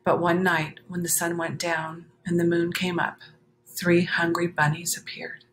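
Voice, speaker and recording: A woman reading a children's picture book aloud, expressive, with chatty explanatory asides to young listeners. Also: lowish voice, unhurried, a little hiss or hum, poor audio.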